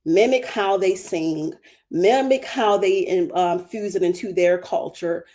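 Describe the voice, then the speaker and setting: A woman's voice: fairly low pitch, slow, warm tone. A woman with a Baltimore accent speaking on a livestream as a spiritual guest, passionate and emphatic, building her points through repeated phrases. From Baltimore, USA. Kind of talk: livestream talk.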